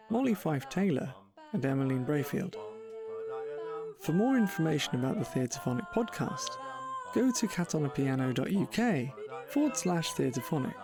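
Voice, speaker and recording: Lively, creaky-voiced man announcing credits. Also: on the high side. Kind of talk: scripted credits read.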